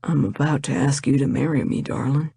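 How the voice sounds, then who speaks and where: A woman's voice: extremely low pitch, steady tone, vocal fry, slightly rough, no echo, clean studio sound, voice pushed down, exaggerated voice. A woman voicing a tender, earnest young man. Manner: soft, heartfelt, deliberate.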